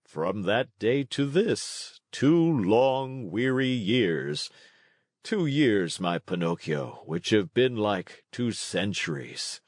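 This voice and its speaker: Man doing a gentle old father, low voice, very slow, weary, loving, storybook read-along. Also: expressive, no echo, studio quality. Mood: weary, tender. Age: older. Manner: slow, measured, tender.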